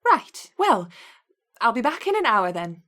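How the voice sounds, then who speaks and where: A woman's voice: on the high side, big pitch swings, a little slow, sharp tone, a little hiss or hum, full character voice. A woman playing a polite, matter-of-fact research colleague in a video game. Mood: polite, brisk. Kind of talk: video game character acting.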